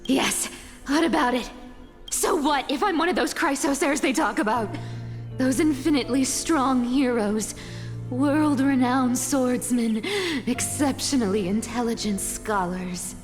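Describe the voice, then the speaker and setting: A woman's voice: high voice, a little slow. An actress playing a sly, world-weary female trickster thief in a video game, guarded, proud and knowing. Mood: bitter, defiant. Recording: long reverb under clear music.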